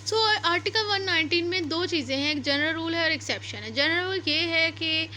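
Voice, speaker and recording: A woman's voice: very high-pitched, brisk, sharp tone, a little hiss or hum. A woman teaching law, reading passages aloud and then explaining them step by step, repeating and rephrasing her points. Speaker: law lecturer.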